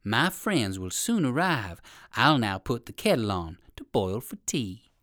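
A storyteller voicing a sly, wicked owl with slow, drawn-out, sarcastic menace in a children's storybook. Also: medium pitch, sing-song, a bit bright, no room sound, clean studio sound. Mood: sarcastic, gloating.

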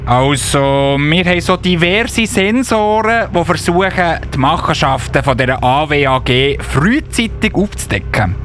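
A man playing an eager, bumbling little Christmas elf policeman in a children's radio play, with a Swiss German accent and halting, apologetic delivery. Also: fairly high pitch, slight background noise. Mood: explaining, flustered. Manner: halting, excitable, often interjecting excuses.

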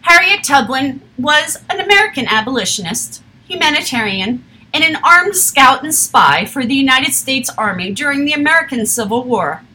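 Woman reading history out loud, highish voice, lots of lift and fall. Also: low-quality recording. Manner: steady, measured reading with occasional slips. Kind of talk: reading a history text aloud.

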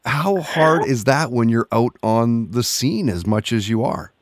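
A man interviewing a guest, relaxed, with short friendly replies. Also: medium pitch, unhurried, no echo, clean studio sound. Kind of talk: casual interview chat.

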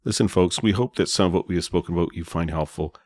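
A man's voice: low-pitched, fast talker, a little mellow, no echo. A man co-hosting a podcast, chatting casually and addressing listeners directly. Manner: friendly, wraps up the show addressing listeners. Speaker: podcast co-host.

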